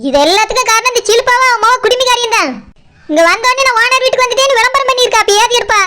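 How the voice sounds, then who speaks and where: A woman playing a bossy, irritable female house owner in a comic cartoon, scolding sarcastically in Kanyakumari Tamil, with her voice pitched up. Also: rapid-fire, pushed and bright. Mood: scolding, sarcastic.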